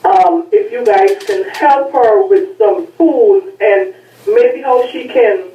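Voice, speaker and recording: A woman's voice: sits high, very animated, takes it slowly, warm tone, roomy sound, far from the mic, rough recording. A woman speaking hesitantly with many fillers on a talk show. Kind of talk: casual talk show chat.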